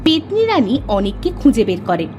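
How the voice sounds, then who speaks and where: High-voiced woman narrating a fairy tale. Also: very animated, moderate pace, darkish tone, lo-fi sound, a little hiss or hum. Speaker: storyteller. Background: background music.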